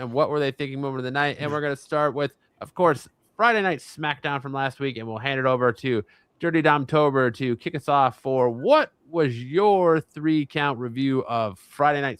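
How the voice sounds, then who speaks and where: A man's voice: medium pitch, lively intonation, normal speed, fairly bright tone, smooth, no echo. A man hosting a casual wrestling fan panel show, teasing his co-hosts and slipping into announcer-style delivery.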